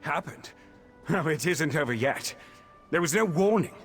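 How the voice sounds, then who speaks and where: An actor playing a distraught, courtly scholar of magic in a video game, with a formal, flustered, theatrical delivery. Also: male voice, fairly high pitch, lively intonation, moderate pace. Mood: grim, shaken. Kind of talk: video game character dialogue. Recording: game music behind.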